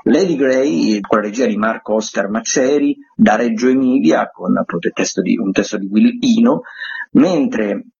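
A man with a raspy voice, presenting theatre listings on radio as a critic. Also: on the low side, normal speed, a little mellow, muffled recording.

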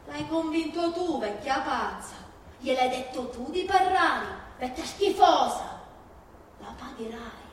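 A woman's voice: high voice, expressive, takes it slowly. A woman acting a fierce, grieving older Sicilian mother in an emphatic, plaintive stage monologue, with a Sicilian accent. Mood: bitter, scolding. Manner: emphatic, plaintive, repeating phrases. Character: fierce, grieving Sicilian mother disowning her criminal son. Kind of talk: dramatic theatrical monologue on stage. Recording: very echoey hall from far, faint noise.

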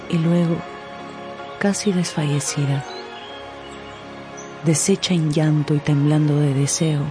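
A narrator telling a literary story in a measured, dramatic radio style. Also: medium pitch. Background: music.

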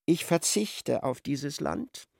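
An actor narrating a literary radio play as a wry, expressive storyteller. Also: male voice, on the high side, slow, creaky, no room sound, studio quality, heavily acted.

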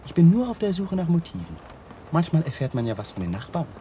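Over faint background noise, a sardonic detective in a radio crime drama. His voice sits fairly high and moves about animatedly, clipped and needling as he probes. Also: very animated, quick pace, warm tone. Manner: mocking, clipped, insinuating. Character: sardonic, needling male police detective, relentless and suspicious. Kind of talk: 1980s German radio drama acting.

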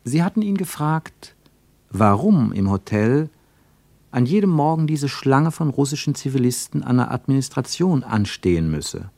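A man's voice: mid-range voice, big pitch swings, moderate pace, creaky, mellow, no echo. A man narrating a literary radio drama from a script.

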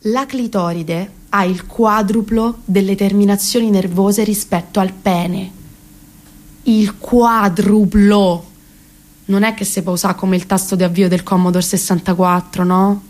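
A woman of about thirty with a Roman Italian accent performing a comic theatrical monologue in an expressive, confiding, colloquial way. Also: medium pitch. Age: around 30. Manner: confiding, expressive, colloquial, asks rhetorical questions. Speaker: actress and monologuist.

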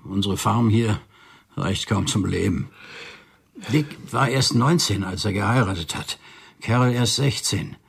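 A man's voice: on the low side, measured pace, gravelly, slight background noise. An actor playing an older farmer father in a radio drama, speaking in a weary, resigned manner.

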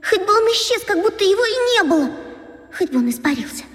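A woman's voice: very high voice, very animated, fast, a bit bright, full character voice. An actress playing an anxious schoolgirl who talks to herself in agitated, repeated phrases in a children's radio drama. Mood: desperate, resentful. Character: anxious schoolgirl, top pupil and class monitor, panicking. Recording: long hall-like reverb.

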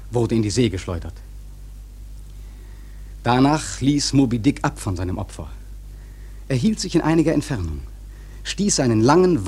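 A man narrating a literary radio drama in a measured, expressive storytelling manner. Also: medium pitch, breathy edge.

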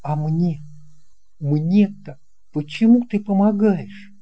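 A woman's voice: on the low side, takes it slowly, warm tone, slight background noise, voice pushed up. A woman voicing a curious, puzzled young boy who questions and wonders in a children's audio storybook. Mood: surprised.

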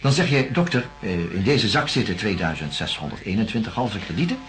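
An actor playing a patient, cautious, kindly doctor, speaking in a measured, slightly hesitant way in a radio drama. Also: male voice, lowish voice, sing-song, fairly bright tone, faint music behind. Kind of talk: naturalistic radio drama dialogue.

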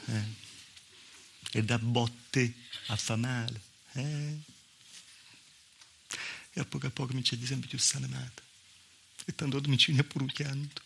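A man's voice: medium pitch, fairly even intonation, unhurried, creaky, long pauses, a bit bright, slight background noise. A male stage actor playing a weary, mistreated southern Italian village wife, telling the story in a colloquial, confiding monologue with a Calabrian accent. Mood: subdued sorrow. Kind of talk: theatrical monologue in dialect.

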